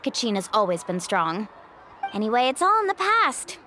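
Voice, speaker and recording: High, breezy young local guide. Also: female voice, big pitch swings, moderate pace, pushed and bright, a little hiss or hum. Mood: upbeat. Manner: breezy, upbeat, chatty. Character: cheerful, confident, outgoing young woman guide. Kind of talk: anime-style video game voice acting.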